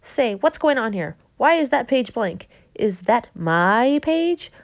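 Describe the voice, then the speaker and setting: Fussy storybook hen, put on by a woman reading aloud, shrill and high, complaining loudly, dry close sound. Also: sing-song, takes it slowly, no echo, exaggerated voice. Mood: indignant. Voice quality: shrill, indignant.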